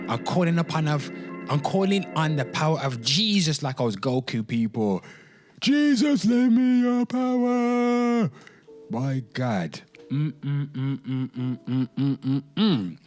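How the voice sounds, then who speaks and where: A man's voice: a little high, big pitch swings, a little mellow, faint music behind. A man hosting a commentary show, mixing dramatic reading with informal, sweary ranting, drawing words out theatrically and addressing listeners directly. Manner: theatrical, drawn-out words and exclamations. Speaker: host of a pop culture commentary show.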